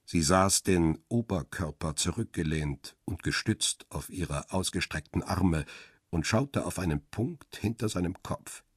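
A man playing a cool, cynical paparazzo in a crime radio drama, speaking in a measured, deliberate and guarded way. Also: low-pitched, husky, dry recording, studio quality, full character voice. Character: male paparazzo photographer, cool, cynical, guarded first-person narrator. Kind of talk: first-person crime radio drama narration and dialogue.

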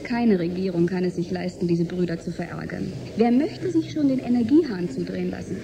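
A young woman playing a cheeky, sharp-tongued nineteen-year-old in a German radio drama, pert and mocking. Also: on the low side, fairly quick, creaky, noisy background. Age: young adult.

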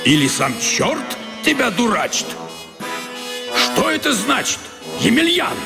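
Questioning old-world gentleman, radio comedy: raspy, creaky, hugely animated, theatrical. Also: very high voice, slow pace, music in the background, exaggerated voice. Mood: puzzled. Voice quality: gravelly, husky. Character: puzzled gentleman questioning a servant, says "brother" often.

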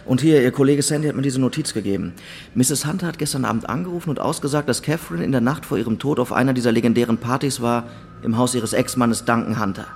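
An actor playing a special investigator from the mainland in a radio drama, businesslike and pressing. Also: male voice, mid-range voice, quick pace, creaky, darkish tone, natural voice. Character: brisk, insistent male special investigator from the mainland. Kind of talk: naturalistic German radio drama dialogue.

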